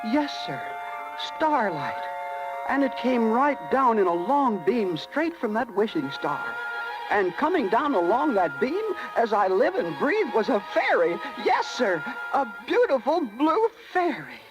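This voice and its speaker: Chirpy, lively little cricket storyteller. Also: male voice, very high voice, unhurried, exaggerated voice, low-quality recording. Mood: amused, wry. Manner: folksy, wry asides. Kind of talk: 1930s radio acting, narration. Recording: vintage 1930s radio, music behind.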